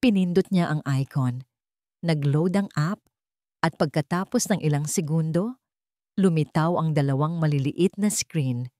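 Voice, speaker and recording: A woman's voice: sits low, a little slow, dark tone, dry recording, clean studio sound. A woman reading a scripted dramatic story as its narrator.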